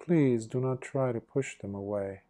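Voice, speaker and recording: A man leading a guided meditation as a Buddhist monk, speaking gently in short phrases with long pauses between them. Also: mid-range voice.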